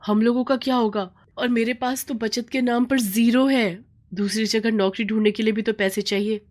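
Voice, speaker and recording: A woman's voice: fairly high pitch, steady tone, moderate pace, a little mellow, voice pushed up, close to an everyday voice. A woman voicing a headstrong, complaining young working daughter in a storybook tale. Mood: worried. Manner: insistent, complaining.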